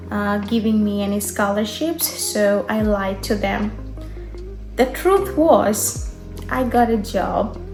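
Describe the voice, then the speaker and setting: Young woman vlogger from Bangladesh, higher voice, relaxed storytelling with fillers, roomy echo. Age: young adult. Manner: unhurried, conversational, with frequent fillers. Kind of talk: personal story vlog.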